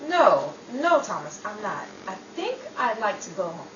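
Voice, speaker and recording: A woman playing a jealous, sharp-tongued wife in a 1930s-style radio comedy drama, with sarcastic, theatrical delivery. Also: mid-range voice, very animated, moderate pace, dull audio. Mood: hurt, downcast. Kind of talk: old-time radio comedy-drama acting. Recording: roomy, distant, faint background noise.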